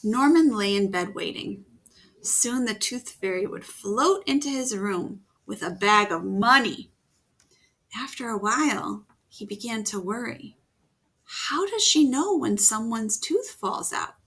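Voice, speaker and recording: A woman reading a children's picture book aloud, gently pausing to ask her young listeners questions. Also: fairly high pitch, animated, husky. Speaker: storytime reader.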